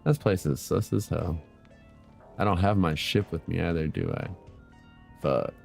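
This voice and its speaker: A man's voice: sits low, very animated, unhurried, gravelly, a little mellow, faint music behind. A man giving casual gameplay commentary as a streamer, muttering in loose slang with plenty of swearing. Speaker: game streamer.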